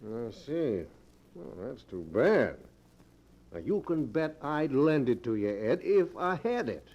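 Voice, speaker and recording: A man's voice: mid-range voice, sing-song, slow pace, darkish tone. An actor playing an evasive, well-off man making hemming excuses in a 1940s radio drama. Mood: apologetic, evasive. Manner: smooth excuses, hemming and hedging. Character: evasive, well-off old friend refusing a loan. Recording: dull old radio recording.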